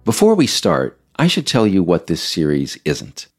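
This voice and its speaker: A man hosting a podcast series, reading scripted narration in a measured, emphatic, explanatory way. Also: low-pitched, wildly swooping pitch, faint music behind. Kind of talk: scripted narration, audio essay read aloud.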